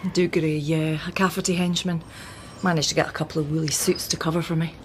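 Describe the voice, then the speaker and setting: A woman's voice: low-pitched, level tone, a little fast, a little hiss or hum, close to an everyday voice. Teasing policewoman played by a woman. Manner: teasing, familiar. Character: playful, teasing female police detective colleague. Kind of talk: radio drama acting.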